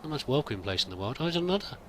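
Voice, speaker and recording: A man's voice: medium pitch, big pitch swings, rapid-fire, a bit bright, a little hiss or hum. A man roleplaying a pilgrim in a game, musing and thinking aloud in a hesitant, wandering way. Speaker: let's play roleplayer. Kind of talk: in-character game commentary.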